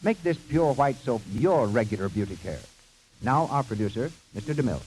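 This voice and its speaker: A man's voice: medium pitch, expressive, brisk, a little mellow, soft background music, low-quality recording. A man announcing in formal, polished, scripted 1930s radio style. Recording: hissy vintage 1930s radio recording.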